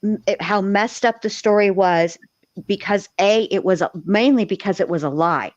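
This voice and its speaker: A woman's voice: mid-range voice, moderate pace, a little mellow. A woman talking casually and emphatically as a true-crime livestream panelist, with salty, colloquial wording. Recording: dull, echoey livestream audio.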